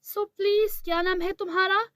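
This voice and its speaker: A woman's voice: very high-pitched, fairly even intonation, normal speed, fairly bright tone, no echo, clean studio sound, voice pushed up. A woman voicing a spoiled, haughty rich girl in a cartoon story, dropping English words into her dismissive talk.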